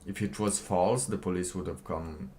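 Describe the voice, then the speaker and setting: A man giving casual livestream commentary and reading documents aloud, halting and rambling, often pausing mid-sentence. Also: low-pitched, fairly even intonation, a little mellow, a little hiss or hum. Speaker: livestream host.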